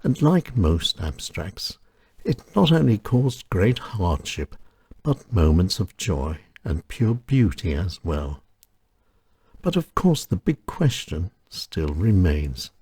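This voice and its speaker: A man with a very low, husky voice reads his own reflective essay as the host of his show. His delivery is slow and solemn, with a lot of rise and fall in the melody, and the sound is close and dry. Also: sing-song, a little mellow, no room sound. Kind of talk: scripted reflective narration.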